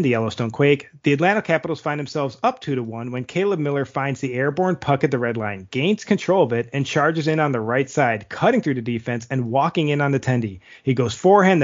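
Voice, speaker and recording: Male podcast co-host and goalie, mid voice, commentary-style reads, lo-fi audio. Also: mid-range voice. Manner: reads plays like sports commentary, then chats loosely. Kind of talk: reading play-by-play highlight rundowns and casual chat.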